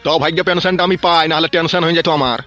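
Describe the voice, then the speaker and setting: A man's voice: on the high side, steady tone, rapid-fire, sharp tone. A man voicing a crude, scolding old village grandfather in a comedy cartoon, with a rural West Bengal accent. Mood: gruff, bossy. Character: grumbling, foul-mouthed old village grandfather on a pension. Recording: music behind the voice.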